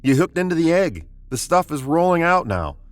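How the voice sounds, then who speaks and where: An actor playing a commanding spaceship captain, terse and grim, in the style of old-time sci-fi radio drama. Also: male voice, fairly high pitch, sing-song, moderate pace. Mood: brisk. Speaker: actor and story narrator.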